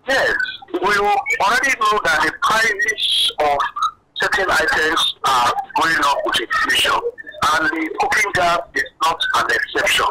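A man's voice: sits high, wildly swooping pitch, a little slow. A middle-aged Nigerian man with a Nigerian accent, giving earnest analysis as a guest on a phone-in news interview. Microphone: telephone line. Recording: noisy telephone line.

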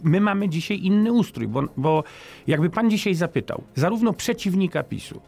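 A middle-aged man speaks into a boom-mounted studio mic as a radio guest, his voice a touch high and full of gravelly fry. He halts and restarts, then drives his points home with emphasis. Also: animated, moderate pace, darkish tone, soft background music. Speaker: politician guest. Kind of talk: radio interview. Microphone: studio microphone on boom arm.

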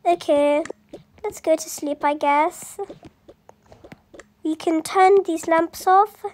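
Very high, slow childlike voice. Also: fairly even intonation. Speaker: voiced roleplay video creator. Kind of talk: improvised children's roleplay storytelling with animated dolls.

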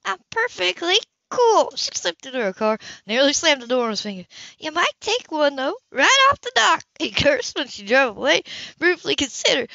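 A woman's voice: fairly high pitch, sharp tone, dry recording. A woman reading a romance novel aloud as an amateur audiobook narrator, sometimes stumbling over the words. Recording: dull, lo-fi home recording.

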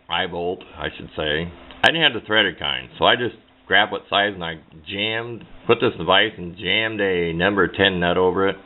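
A folksy, plain-spoken man giving a casual hands-on tutorial at a workbench, picked up by a camera microphone. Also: sits low. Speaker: DIY radio hobbyist demonstrating. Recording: muffled phone-like audio, background noise.

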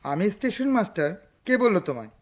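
Theatrical, swooping cryptic stranger. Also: male voice, high voice, very animated, quick pace. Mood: guarded, puzzled. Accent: Bangladeshi. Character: enigmatic teasing male passenger at a deserted station. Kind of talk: Bangladeshi radio drama acting.

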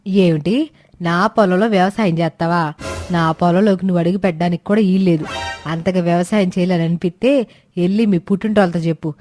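A woman's voice: on the low side, steady tone, a little fast, soft background music, natural voice. Cartoon mother-in-law: harsh, demanding, always scolding, voiced by a woman. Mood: angry. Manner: sharp, taunting, demanding. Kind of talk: animated storybook voice acting.

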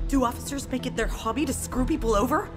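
A woman's voice: high voice, fast, loud music behind the voice, lightly acted. An actress playing a bitter, defiant female assassin in a video game, with a brooding, biting delivery. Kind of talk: dramatic video game acting.

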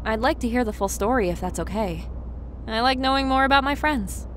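Woman as curious, hesitant young mare, higher-pitched. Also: brisk, fairly bright tone, a little hiss or hum, lightly acted. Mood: earnest. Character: young female pony, earnest, curious, hesitant.

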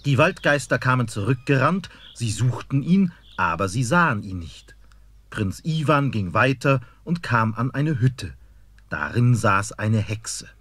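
A man narrates a fairy-tale audio drama with an expressive storyteller's delivery. His voice is husky and breathy at an ordinary pitch. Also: unhurried.

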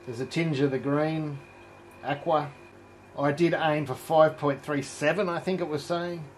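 A middle-aged man giving a casual hands-on home brewing demonstration, explaining in a relaxed way as he works in an indoor home brewery. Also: fairly high pitch, a little slow, slight background noise, low-quality recording. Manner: relaxed, explanatory, thinking aloud while working.

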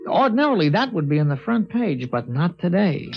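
A grave, thoughtful teenage boy telling his own story, in a 1950s radio drama. His voice is high but gravelly. The old broadcast sounds dull and muffled, with faint music underneath. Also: sing-song, a little fast, full character voice. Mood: somber. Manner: earnest, reflective, somber. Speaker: actor.

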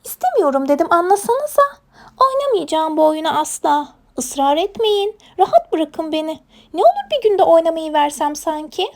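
A woman's voice: very high voice, big pitch swings, normal speed, pitched above the performer's own voice, exaggerated voice. A woman voicing a stubborn, whiny little female hedgehog in a children's storybook, defiant and pleading with insistent refusals.

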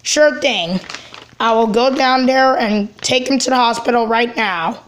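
A matter-of-fact cartoon superhero, played by a woman, speaks in a high voice. The rescuer is helpful and halting, with unhurried delivery. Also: natural voice. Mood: helpful, calm.

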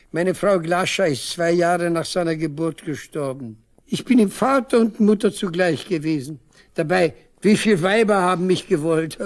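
An old village father in a seventies East German radio play. The voice is gravelly and rather high for a man. He rambles proudly and wistfully, with stubborn emphasis. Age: older. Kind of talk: 1970s East German radio drama acting.

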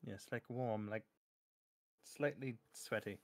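A woman's voice: very deep voice, slow pace. A woman co-hosting a livestream in casual, chatty conversation. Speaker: livestream co-host.

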